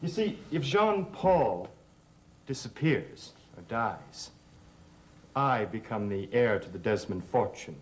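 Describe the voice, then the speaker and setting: An actor playing an insolent young cousin in a 1960s television soap, sardonic and mock-polite. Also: male voice, fairly low pitch, big pitch swings, slow pace. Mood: sly, mocking. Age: young adult. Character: sly, insolent young cousin and would-be heir. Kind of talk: 1960s TV soap opera acting. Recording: vintage TV soundtrack with background music.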